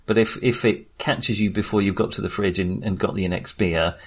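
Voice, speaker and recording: A man's voice: lowish voice, steady tone, normal speed, husky. A man hosting a casual film discussion, wry and amused, rambling and hesitant as he trails off mid-sentence. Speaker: film podcast host.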